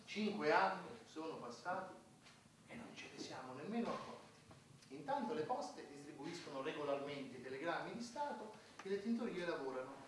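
An actor playing a wry, stubborn male underling who chronicles an absurd war in satirical theatre, with measured irony. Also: fairly high pitch, animated, slight background noise, lightly acted. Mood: wry reporting. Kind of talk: satirical theatrical narration and dialogue. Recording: very echoey hall, distant from the mic.

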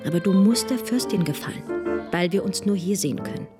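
A woman's voice: medium pitch, expressive, fairly quick, close to an everyday voice. An actress playing a married woman pleading intimately with her secret lover, devoted and anxious, in a German radio play. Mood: pleading, tender. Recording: loud music behind the voice. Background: loud music.

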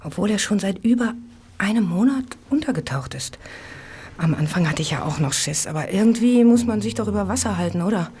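Actress as brash, lively young streetwalker. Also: female voice, medium pitch, fairly quick, slight background noise, natural voice. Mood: chatty, coaxing. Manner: cheeky, slangy, impatient. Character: brash, street-hardened young Victorian prostitute, aged 25. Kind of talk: German radio play acting.

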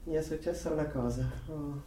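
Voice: mid-range voice, fairly even intonation, brisk, mellow, close to an everyday voice. An actor playing an anxious, married office worker in a radio drama, nervous and confiding in delivery. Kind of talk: Italian radio drama acting. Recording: roomy, slightly distant, faint ambient noise.

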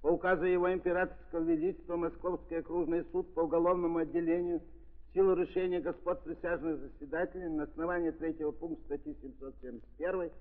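Actor as formal judge, higher-pitched, ringing hall. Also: male voice, steady tone, close to an everyday voice. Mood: solemn, official. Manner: official, declamatory, measured formal reading. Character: formal presiding judge reading out a sentence. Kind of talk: 1930s Russian radio drama acting. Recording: tinny 1930s recording in reverberant hall.

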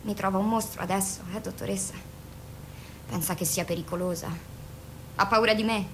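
A woman's voice: mid-range voice, fairly even intonation, brisk, background noise, lightly acted. A woman acting in an Italian radio drama as a bitter, volatile young female patient, defiant and sarcastic, who swears and confronts. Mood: bitter, unsettled. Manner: sarcastic, confrontational, foul-mouthed.

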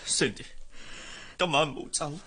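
A man with a Hong Kong Cantonese accent acting a heartbroken, possessive, hard-drinking gentleman in a radio drama, impassioned and volatile. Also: on the high side, normal speed. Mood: pleading. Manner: impassioned, swinging between pleading and outbursts.

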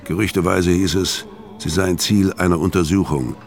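Hard-boiled crook telling his story, low voice, deadpan, music underneath. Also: moderate pace, gravelly. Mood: wry, hard-boiled. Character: hard-boiled, world-weary male career criminal narrating his story. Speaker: first-person narrator. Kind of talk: noir audio drama narration.

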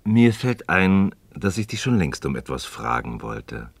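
Deep, lively, sceptical questioning friend. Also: male voice, a little slow, gravelly, no echo, heavily acted. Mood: curious. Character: inquisitive, sceptical male friend pressing for answers. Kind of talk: German radio play dialogue.

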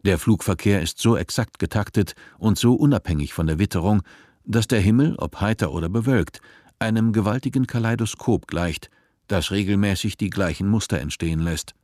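A man narrating a radio play in a measured, literary and composed manner. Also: on the low side, darkish tone, no room sound, clean studio sound, close to an everyday voice.

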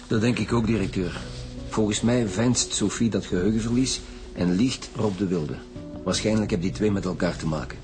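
An actor playing an impatient chief inspector in a radio drama, with a blunt, suspicious manner and a Flemish accent. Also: male voice, on the low side, moderate pace, music in the background, close to an everyday voice. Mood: suspicious, businesslike. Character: gruff, impatient male chief inspector leading a murder squad.

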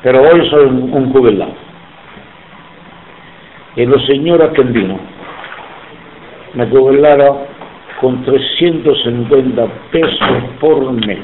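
A man's voice: mid-range voice, takes it slowly, long pauses, echoey room, phone-quality sound, slight background noise. A man in his seventies from Sicily, speaking Spanish with an Italian accent in an oral history interview, emphatic and indignant. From Sicily, Italy. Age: 70s.

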